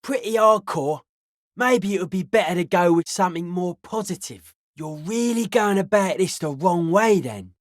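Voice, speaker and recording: A man's voice: high voice, expressive, normal speed, no room sound, studio quality. A man voicing a wary, blunt young raver and tech tinkerer in a video game, slangy, clipped and wry. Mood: keen, matter-of-fact. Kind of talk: video game character dialogue.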